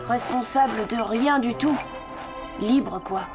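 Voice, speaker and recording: Lady doing a little boy apprentice in a kids cartoon, high voice, hesitant and earnest, music behind. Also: slow pace. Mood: doubtful. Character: young orphan boy, earnest, self-doubting Santa apprentice. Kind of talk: French cartoon dubbing.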